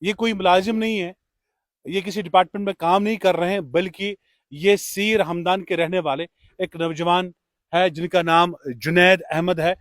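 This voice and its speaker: A man's voice: high-pitched, fairly even intonation, normal speed, fairly bright tone, dry recording, clean studio sound. A man speaking formally and courteously as a radio host giving a tribute and interview on location. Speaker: radio host presenting an appreciation award.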